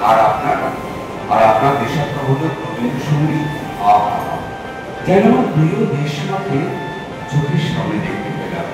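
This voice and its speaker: Echoey, swooping actor as an eager older gentleman. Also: a little high, normal speed, pauses often. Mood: coaxing, charming. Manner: expressive, coaxing, with hesitant hums and repeats. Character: eager, romantic older gentleman courting a woman. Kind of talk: audio drama acting in a recitation workshop. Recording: very echoey, distant, music behind. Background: background music.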